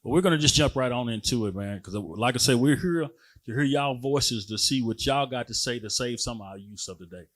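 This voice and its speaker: A man's voice: medium pitch, fairly quick, fairly bright tone. A man moderating a community panel, informal and direct, calling guests up and asking them for their stories.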